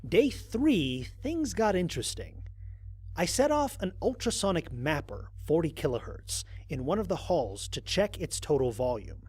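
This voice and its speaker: A man performs a human explorer reading his mission log in an audio drama. His voice is fairly high for a male and slightly rough, with very animated intonation. He reports methodically, with dry, wry formality and measured phrasing. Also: dry recording. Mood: measured, analytical. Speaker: narrator. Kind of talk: scripted first-person audio drama narration.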